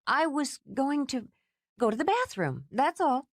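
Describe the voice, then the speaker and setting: A sheepish young woman, unwell and apologetic, speaking meekly with a slightly raised, lively voice. A woman voices her in a storybook reading. Dry, close sound. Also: high voice, measured pace, no room sound, voice pushed up, full character voice. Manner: apologetic, meek.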